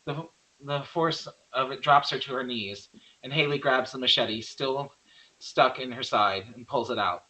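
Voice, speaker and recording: A man's voice: medium pitch, a little slow, sharp tone, rough recording. A man narrating stage directions from a script at a virtual table read.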